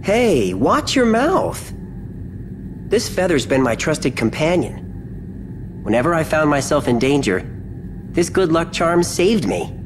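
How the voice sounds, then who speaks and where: An actor playing a friendly, earnest young warrior in a video game, eager and open. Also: male voice, on the high side, sing-song, takes it slowly, long pauses. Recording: game audio over ambient noise.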